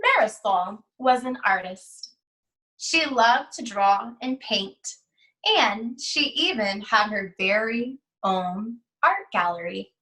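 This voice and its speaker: A woman teacher reading a children's storybook aloud, with deliberate, expressive phrasing and pauses. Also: medium pitch, recorded from a distance, rough recording.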